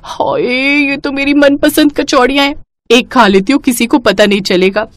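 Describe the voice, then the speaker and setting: A woman's voice: high voice, moderate pace, dry recording, pitched above the performer's own voice, close to an everyday voice. A woman voicing a gluttonous, cheeky young daughter-in-law in a cartoon family story, exclaiming gleefully. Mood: greedy delight. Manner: gleeful, exclaiming over food.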